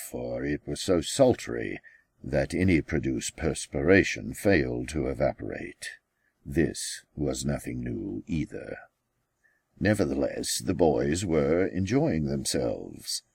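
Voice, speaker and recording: A man narrates a novel in a very deep voice with a creaky, gravelly edge. His delivery is slow and deliberate, with dramatic pauses and a widely swinging pitch. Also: a little mellow, dry recording, studio quality. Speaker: author reading own novel. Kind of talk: scripted audiobook narration.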